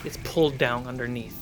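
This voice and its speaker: A man narrating a tabletop fantasy game as game master, in a measured, suspenseful way with dramatic pauses. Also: mid-range voice. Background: cinematic music score.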